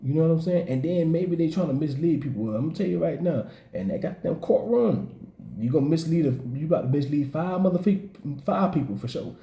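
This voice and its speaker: A man's voice: on the high side, expressive, brisk, gravelly, mellow, echoey room. A man with a Southern accent, rambling in profane street slang as he gives casual commentary on his vlog. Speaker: blogger.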